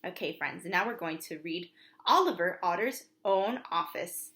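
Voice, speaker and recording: A woman's voice: fairly low pitch, lively intonation, measured pace, fairly bright tone. A woman preschool teacher reads a storybook aloud for young children, expressively, with simple asides to her listeners.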